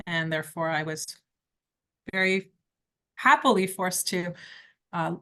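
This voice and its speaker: A woman from Quebec with a slightly low voice, answering interview questions. She speaks in a direct, emphatic way and lays down firm rules. Her delivery is conversational, with the confidence of an experienced lawyer. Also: unhurried, pushed and bright. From Quebec, Canada. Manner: direct, emphatic advice-giving. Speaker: criminal defence lawyer.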